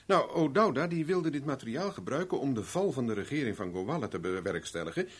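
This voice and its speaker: An actor playing a self-assured, probing private investigator with dry irony in a 1970s radio drama. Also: male voice, medium pitch, very animated, fairly quick, husky. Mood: businesslike, explanatory.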